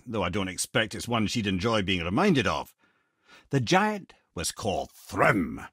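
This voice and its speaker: A Scottish actor voicing a witty, talkative old male sage in a video game, with a husky voice and a wry storyteller's manner. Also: medium pitch, fairly bright tone, no room sound, clean studio sound. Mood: explaining.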